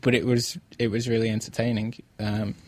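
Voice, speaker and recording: An Australian man co-hosting a podcast, chatting casually in a halting, thoughtful, informal way. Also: lowish voice, level tone, a little mellow, no echo. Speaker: podcast co-host, interviewer. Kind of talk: casual chat.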